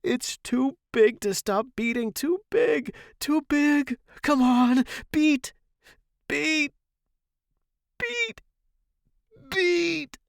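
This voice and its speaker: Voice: slow pace, a little mellow, dry recording, clean studio sound. A storyteller voicing a devoted young male music student, earnest, emotional and imploring. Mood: frantic grief. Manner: earnest, imploring, high and distraught.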